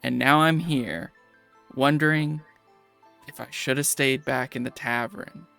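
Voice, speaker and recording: A man's voice: mid-range voice, fairly even intonation, measured pace, gravelly, soft background music. A man voicing an angry adventurer who lectures his party about their choices. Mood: angry lecturing. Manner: shouting, reproachful.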